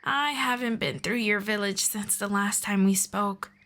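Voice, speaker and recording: A woman voicing a patient, gently formal female elf friend in an ASMR roleplay, speaking softly and politely. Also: mid-range voice. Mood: calm, patient. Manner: soft, unhurried, politely formal.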